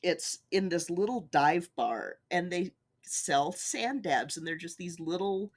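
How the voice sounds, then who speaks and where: A woman's voice: fairly low pitch, lively intonation, unhurried. A woman co-hosting a podcast, chatting casually and enthusiastically. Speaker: podcast co-host. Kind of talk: casual chat.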